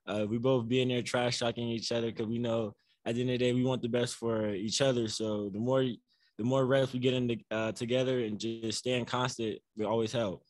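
A young man, a college basketball player, answering reporters at a postgame press conference in an easygoing, soft-spoken way with many fillers, into a table mic. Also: mid-range voice, level tone, fairly quick, no echo, rough recording. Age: young adult. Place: press room before a banner. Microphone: table mic on a stand.

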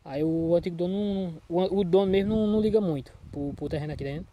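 A Brazilian man with a Northeastern accent, a little hoarse from a cold, chatting casually for a farm vlog in a folksy, repetitive way. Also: high voice, fast, dull audio. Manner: folksy, repeats himself, frequent check-in tags. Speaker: small farmer vlogging from his field. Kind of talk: casual handheld vlog chat. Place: outdoors in an open cornfield. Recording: noisy outdoor handheld recording.

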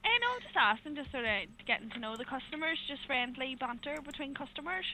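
High, bright Northern Irish woman on the phone. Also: animated, fast. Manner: polite, giggly, patient. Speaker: supermarket customer service agent. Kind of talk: customer service phone chat. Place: phone line into a group video call. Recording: noisy phone call recorded off computer.

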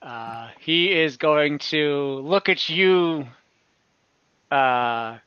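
A man's voice: a little high, extremely slow, clean tone, no room sound, highs cut off. A young man game master casually narrating an online tabletop game over a video call. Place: indoor room at home, on a video call.

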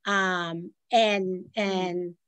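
A woman speaking on a video call, explaining plans in a halting way with many restarts and fillers. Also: on the low side, steady tone, fairly bright tone, smooth, dry recording. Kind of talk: casual discussion on a video call.

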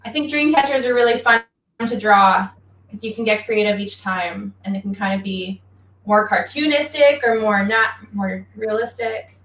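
A young woman giving a relaxed, chatty drawing lesson, explaining each step while she draws at home, speaking away from a laptop mic. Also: mid-range voice, normal speed, echoey room, poor audio. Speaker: guest athlete teaching drawing. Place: small home room. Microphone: laptop mic from across the room, facing away.

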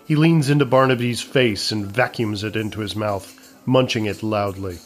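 A man's voice: lowish voice, moderate pace. A man reading scripted narration as the narrator of an audio comedy.

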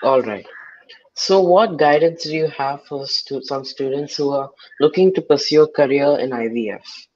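A man's voice: a little high, normal speed, darkish tone, dull audio, a little hiss or hum. A young man, asking short interview questions over a video call through a headset. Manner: brief questions, repeated "all right".

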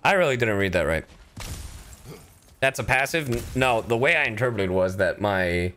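A man's voice: fairly low pitch, expressive, normal speed, a bit bright, slight background noise. A man doing casual, profane livestream gaming commentary, exclaiming and muttering to himself. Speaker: streamer, video game player. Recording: game sound behind the voice. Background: video game ambience.

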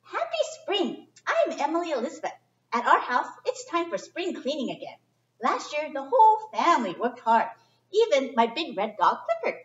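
A middle-aged woman doing a chatty, expressive children's read-aloud with informal asides, speaking in simple phrases for young listeners. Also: high voice, moderate pace. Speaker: storyteller reading a picture book to children. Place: indoor library children's area. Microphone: distant camera microphone. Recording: echoey room, distant muffled camera sound.

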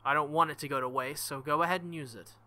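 A man's voice: fairly high pitch, steady tone, fast, pushed and bright. A man reading the lines of a proud, bossy but caring teenage girl mage in his own voice. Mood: reassuring.

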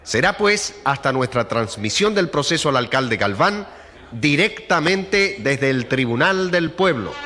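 A man's voice: a little high, expressive, unhurried, sharp tone. An actor playing a formal, emphatic male radio reporter announcing news live in a radio drama. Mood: formal, urgent. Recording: radio play with long hall reverb.

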